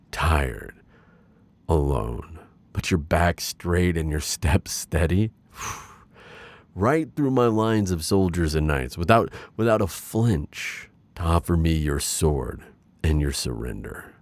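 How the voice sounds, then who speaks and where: A man playing a gloating, lovestruck dark warlord in an intimate roleplay audio, with theatrical, playful authority and lingering pauses. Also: very deep voice, heavily acted.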